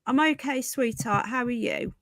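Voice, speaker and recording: A woman's voice: fairly high pitch, normal speed, a bit bright, dry recording, clean studio sound. A woman hosting a casual live news stream from home, chatting to viewers, repeating herself and calling them folks and darling. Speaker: livestream host.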